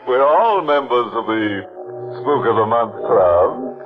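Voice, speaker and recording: A ghoulish host of an old radio mystery show. He speaks very slowly at a medium pitch, savouring macabre jokes with mock-genteel, sardonic relish. The recording is muffled, with music behind him. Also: lively intonation. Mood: sly mock-genteel. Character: ghoulish, tongue-in-cheek male horror show host. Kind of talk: 1940s radio horror show hosting.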